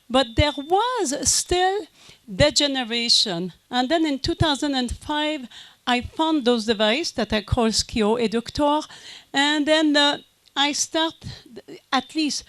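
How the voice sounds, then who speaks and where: A French Canadian woman, a native French speaker, giving a conference lecture in a halting way with many fillers and restarts. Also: sits high, dry recording. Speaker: guest speaker.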